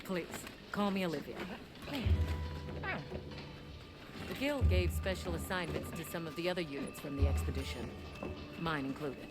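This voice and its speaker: A woman plays an assured female captain of hunters in a video game. Her voice is low and courteous, with loud music behind it. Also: fairly quick, natural voice. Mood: assured, friendly. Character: composed, friendly female captain of a hunting unit.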